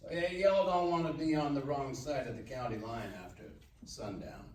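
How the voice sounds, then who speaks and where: An actor playing a hard-nosed small-town sheriff with a sneering Southern drawl at a live table read. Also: male voice, medium pitch, normal speed, darkish tone, natural voice. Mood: menacing. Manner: sneering, intimidating. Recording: echoey room, distant mic, faint noise.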